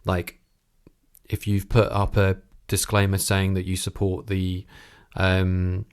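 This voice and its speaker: A man hosting a conversational interview podcast, thinking aloud in a halting way. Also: deep voice, completely flat delivery, clean tone.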